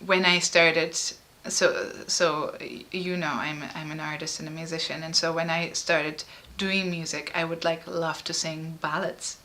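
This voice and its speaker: Bright-voiced woman, halting and emotional. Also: sits low, little pitch movement. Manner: halting and emotional, frequent fillers and restarts. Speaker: artist and musician. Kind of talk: personal interview.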